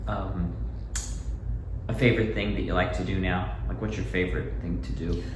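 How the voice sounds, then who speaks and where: A man's voice: low-pitched, level tone, normal speed. A man hosting a casual interview, introducing his guest and asking simple questions. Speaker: interviewer, doctor. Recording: echoey room, distant mic, faint noise.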